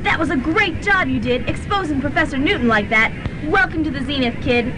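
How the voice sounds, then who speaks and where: A woman's voice: high-pitched, lively intonation, fairly quick. A woman playing a perky, peppy young student activist in a student radio drama. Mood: friendly. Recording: noisy student radio recording, crowd murmur.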